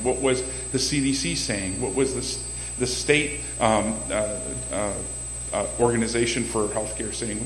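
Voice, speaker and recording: A man running for city council, answering audience questions at a community meeting in a loud, emphatic way and often repeating words as he starts a sentence. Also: medium pitch. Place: banquet room at a community meeting. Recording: echoey hall with faint hum.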